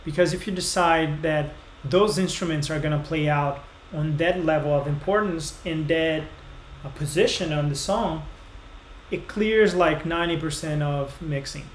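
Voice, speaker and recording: Brazilian guy reviewing songs live, bit high voice, echoey room w faint hiss. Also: moderate pace. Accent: Brazilian Portuguese. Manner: casual, explanatory, lots of fillers. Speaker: music reviewer host. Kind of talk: live stream song feedback. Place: small home studio room. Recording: roomy echo, faint noise.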